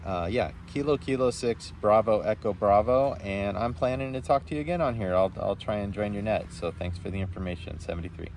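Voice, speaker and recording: A low-voiced man makes casual conversation as an amateur radio operator. He rambles in a friendly, appreciative way. A faint noisy hum sits behind his voice. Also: fairly quick, few pauses, darkish tone. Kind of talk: casual two-way radio chat.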